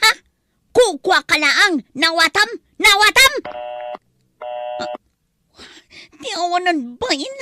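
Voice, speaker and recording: A woman playing a jealous, suspicious girlfriend who scolds with sharp, demanding questions in an Ilocano comedy audio drama. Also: very high voice, sing-song, slow pace, long pauses, exaggerated voice. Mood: angry. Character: jealous, nagging, suspicious girlfriend, quick to accuse.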